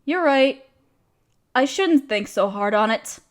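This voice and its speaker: A woman's voice: sits high, lively intonation, takes it slowly. A woman playing an overworked, apologetic young woman confiding hesitantly in a close friend in an intimate audio roleplay. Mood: sheepish, warming. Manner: self-deprecating, hesitant, casual. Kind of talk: roleplay audio drama, intimate one-sided conversation.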